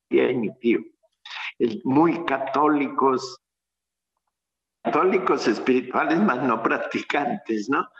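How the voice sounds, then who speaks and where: A man, a priest telling his life story in a radio interview, speaking haltingly and reflectively over a dull remote line. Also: mid-range voice, gravelly. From Colombia.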